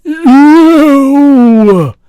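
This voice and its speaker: Brutish storybook giant, screechy, high, bellowing, terrified, pleading, broken grammar. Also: male voice, animated, extremely slow, warm tone, no room sound, heavily acted. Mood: panicked screaming. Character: huge brutish man-eating giant in terrified nightmare.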